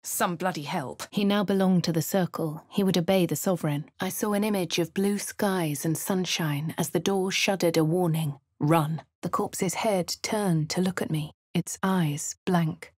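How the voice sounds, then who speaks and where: A woman's voice: lowish voice, unhurried, few pauses, breathy edge, dry recording, studio quality. An actress with a posh English accent voicing a wry female fantasy adventurer in a video game, narrating and speaking in character. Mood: measured, wry.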